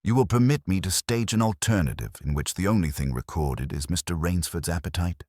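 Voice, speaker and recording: A man's voice: low voice, lively intonation, brisk, darkish tone, no echo, clean studio sound. A man voicing a shrewd, commanding master detective in an audiobook, speaking formally, precisely and with authority. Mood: firm, commanding.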